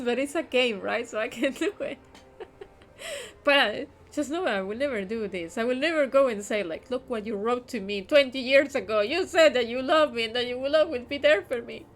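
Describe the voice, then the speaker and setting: A woman streamer with an Argentinian accent, chatting and laughing while playing a game live. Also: high-pitched. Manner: chatty, giggly, reads aloud.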